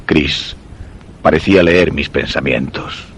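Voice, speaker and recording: An actor playing an ailing, suspicious husband who narrates his macabre tale in a Spanish radio drama, measured and literary, with a Castilian accent. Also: very deep voice, a little hiss or hum. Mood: brooding, uneasy. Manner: measured, literary, brooding delivery.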